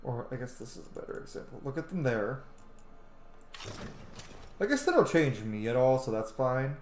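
A man's voice: medium pitch, big pitch swings, normal speed, darkish tone. A man in his thirties thinking aloud in casual commentary while he plays a video game. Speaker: gaming streamer. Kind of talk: casual let's-play commentary. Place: indoor room at home. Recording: roomy sound with faint background noise.

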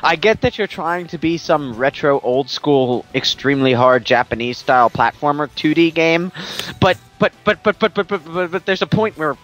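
Male game commentator, exasperated, rambling, casual joking, higher-pitched, faint music behind. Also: lively intonation, moderate pace, fairly bright tone.

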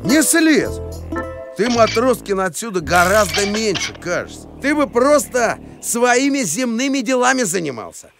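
High-pitched dreamy cartoon dog. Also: male voice, big pitch swings, normal speed, vocal fry, music in the background, heavily acted. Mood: stubborn. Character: dreamy, stubborn, simple-hearted male cartoon dog. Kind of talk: cartoon voice acting.

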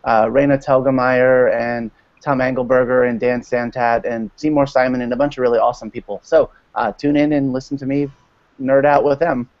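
A man's voice: mid-range voice, fairly even intonation, normal speed, dry recording. An American man in his thirties giving an informal, chatty and enthusiastic presentation as a guest on an online video call. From United States, Maryland. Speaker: guest speaker, literacy advocate. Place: small room at home, indoors. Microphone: video call with earphones. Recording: dull lo-fi video call audio.

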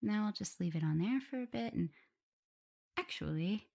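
A woman playing a gentle, playful omega werewolf in an ASMR audio roleplay, talking softly, soothingly and a little hesitantly as she looks after her partner. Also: mid-range voice, lively intonation, moderate pace, vocal fry. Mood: tender, caring. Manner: soft, soothing, hesitant, giggly. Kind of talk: soft ASMR roleplay, intimate one-sided dialogue.

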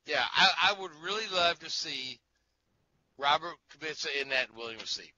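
A man's voice: a little high, expressive, normal speed, bright, forceful tone. A man guesting on a podcast, talking in an enthusiastic, joking way. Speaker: motorsport writer, guest. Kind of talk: casual chat. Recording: dull lo-fi sound.

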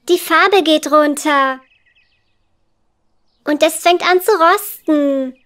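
A woman voicing a cheerful, helpful little girl in a children's educational cartoon, with clear, simple, instructive phrasing. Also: sits high, sharp tone, exaggerated voice. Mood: dismayed, resolute.

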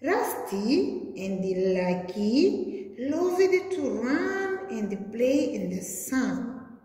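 A young adult woman preschool teacher reading a story to small children in a deliberate, singsong way, recorded in a classroom. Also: high voice, sing-song, dark tone. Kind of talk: children's read-aloud story lesson. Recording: very echoey hard-walled room.

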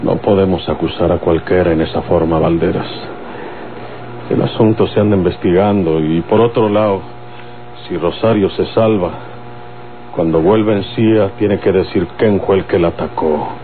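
An actor playing a grave, measured bandit leader with a Mexican accent in an old radio drama. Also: male voice, low voice, narrow, tinny audio, natural voice. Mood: grave, restrained. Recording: thin old radio recording with mains hum.

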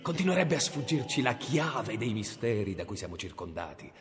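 An actor playing a cold, commanding medieval inquisitor in a radio drama. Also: male voice, lowish voice, very animated, normal speed, long reverb tail, soft background music. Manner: commanding, scornful. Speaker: inquisitor Eymerich.

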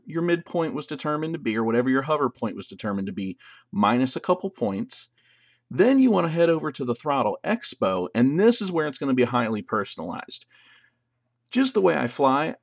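A man's voice: mid-range voice, no echo. Casual man explaining drone settings. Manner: casual, explanatory, loose phrasing. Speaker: drone tutorial host. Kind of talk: instructional voice-over.

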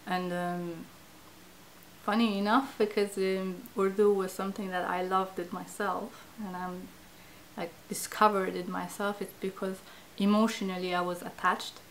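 A woman in her thirties from Iran with a Persian accent, a native Farsi speaker, talking reflectively to camera in a small indoor studio and often pausing to search for words. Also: mid-range voice, little pitch movement, slight background noise, low-quality recording. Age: 30s. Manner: hesitant, searching for words, many fillers. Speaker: interviewee, language project participant. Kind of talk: reflective interview talk to camera.

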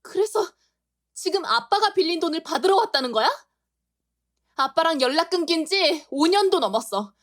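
A defiant young girl, voiced by a woman, confronts the men in a very high, bright voice. Her indignant questions climb and fall sharply in this audio drama. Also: expressive, moderate pace. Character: young girl defending her mother, fierce and shaken.

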